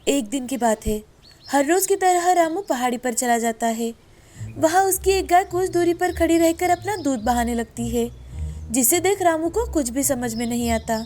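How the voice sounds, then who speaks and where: A woman's voice: high voice, lively intonation, moderate pace, warm tone. A woman storyteller reading a scripted moral tale as narrator. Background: background music.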